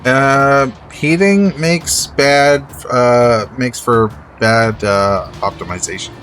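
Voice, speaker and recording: A man's voice: medium pitch, takes it slowly, a bit bright, faint music behind. A man in casual livestream chat, laid-back and matter-of-fact, free with swear words.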